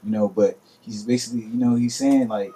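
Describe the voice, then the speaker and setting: A man's voice: medium pitch, fairly even intonation, a little fast, warm tone, husky. A young man co-hosting a college radio talk show, earnest and repeating words as he works toward a point. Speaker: radio co-host. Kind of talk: casual radio discussion.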